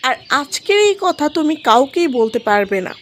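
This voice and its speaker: A woman's voice: high-pitched, big pitch swings, moderate pace, a little hiss or hum. A woman playing a cruel, scheming stepmother in a cartoon, commanding and wheedling. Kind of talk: cartoon story voice acting.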